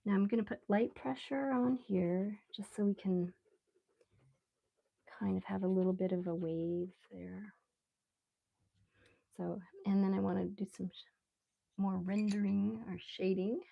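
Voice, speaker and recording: A woman with a relaxed voice chats casually on a live stream as she draws, rambling unhurriedly and thinking aloud. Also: medium pitch, a little slow, creaky, pauses often, dull audio. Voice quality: relaxed, soft-edged voice. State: relaxed, mildly sedated after anesthetic. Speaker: live stream artist host. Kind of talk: casual live stream chat while drawing.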